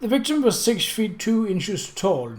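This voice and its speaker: Guy reading as a doctor doing autopsy talk in an audiobook, formal and clinical, bit gruff, voice a little high. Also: big pitch swings, measured pace, a little mellow. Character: male police surgeon, formal, professional, slightly gruff. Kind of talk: audiobook character dialogue.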